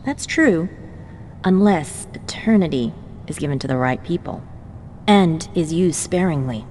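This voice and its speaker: A woman's voice: low voice, expressive, unhurried, darkish tone, in a large hall, slight background noise. A woman playing a poised, ambitious researcher in a video game, polite, composed and sure of herself. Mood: self-assured. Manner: polite, composed, self-certain.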